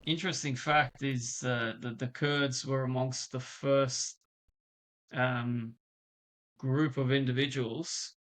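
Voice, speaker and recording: Bright-voiced man in casual discussion. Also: medium pitch, steady tone, slow pace. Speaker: political podcast speaker. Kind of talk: casual conversation.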